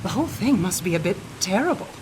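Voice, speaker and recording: A woman's voice: on the low side, sing-song, fast, a little mellow, slight background noise. An actress playing a rational, skeptical intellectual woman, wry and articulate, in a radio horror drama. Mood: skeptical, curious.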